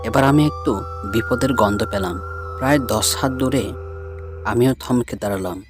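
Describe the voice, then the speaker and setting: A man's voice: mid-range voice, normal speed. A man narrating a scripted first-person ghost story as a storyteller. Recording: music bed behind the voice. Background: music.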